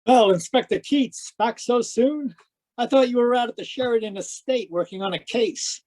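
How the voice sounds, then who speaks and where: A man's voice: very high voice, moderate pace, a little mellow, dry recording. A man playing a chatty, curious police station colleague in a radio mystery, casual and inquisitive. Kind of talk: 1940s radio mystery acting.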